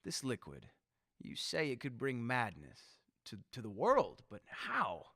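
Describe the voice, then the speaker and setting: Ruthless tyrant, forceful and gravelly acted out. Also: mid-range voice, wildly swooping pitch, measured pace, dry recording, studio quality, exaggerated voice. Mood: skeptical. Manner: menacing, theatrical, imperious. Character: ruthless, power-hungry tyrant war leader, volatile. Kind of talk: radio drama acting.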